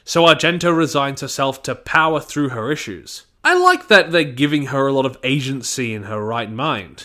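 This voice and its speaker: A man's voice: mid-range voice, bright, forceful tone. A man giving a scripted review and plot commentary. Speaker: audio drama reviewer.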